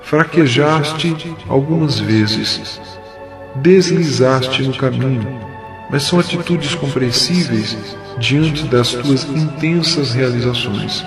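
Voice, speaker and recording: A man playing a kindly spirit mentor in an audio drama, preaching in a measured, earnest and solemn way. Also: medium pitch. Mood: earnest, compassionate. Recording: music behind the voice. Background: music.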